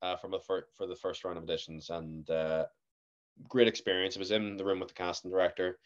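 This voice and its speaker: A young man with a Northern Irish accent, a guest actor telling stories in a casual podcast chat, animated and laughing often. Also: low voice, fairly quick, dull audio. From Northern Ireland.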